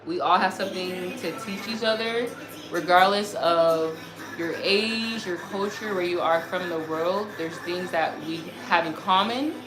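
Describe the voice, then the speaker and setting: A woman's voice: on the low side, measured pace. Woman in a roomy, echoing livestream. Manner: earnest, effusive, repeats herself, often says "like". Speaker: livestream host. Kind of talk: casual livestream chat, reading and answering comments. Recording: roomy echo, music behind the voice. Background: music.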